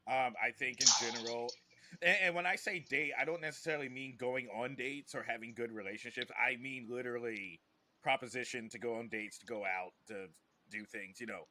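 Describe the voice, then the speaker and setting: A man with a mid-pitched voice hosts a podcast. He talks casually and conversationally with his guests, in an ordinary tone. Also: fairly even intonation, a little fast, pushed and bright, a little hiss or hum. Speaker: podcast host.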